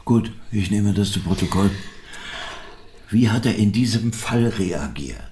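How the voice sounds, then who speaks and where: An actor playing a terse investigator questioning a witness in a radio drama, with speech. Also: male voice, on the low side, expressive, a little slow, dark tone, echoey room, slight background noise. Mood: probing, dry. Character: probing male investigator taking a witness statement.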